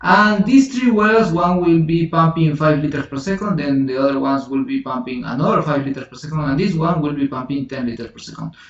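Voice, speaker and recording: A man whose first language is Spanish, teaching a software tutorial in a halting way, thinking aloud with many restarts and self-corrections. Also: on the high side. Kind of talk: screen-recorded software tutorial. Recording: roomy, distant, dull lo-fi home recording.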